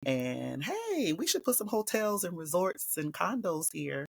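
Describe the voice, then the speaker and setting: A woman from Hilton Head Island with a Gullah Geechee accent, a guest educator talking in a relaxed interview and telling stories from home. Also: lowish voice, unhurried, creaky, dry recording, studio quality. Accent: Gullah Geechee, South Carolina Lowcountry. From Hilton Head Island, South Carolina. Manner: relaxed storytelling, quoting others in lively voices. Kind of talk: casual interview conversation.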